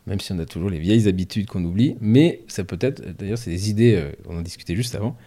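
A man's voice: lowish voice, quick pace, dark tone. A man hosting a casual, conversational interview with his guests.

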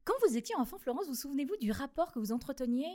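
Woman radio host; smooth reading, hesitant ad-libbed questions. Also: high-pitched, expressive, racing, dark tone. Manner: smooth scripted opening, hesitant when ad-libbing. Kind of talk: read introduction, then interview questions.

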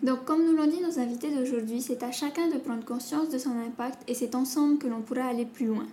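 A woman's voice: sits high, fairly even intonation, fairly quick, mellow, faint music behind. A woman hosting a podcast, thanking guests and reading a composed outro. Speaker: podcast host.

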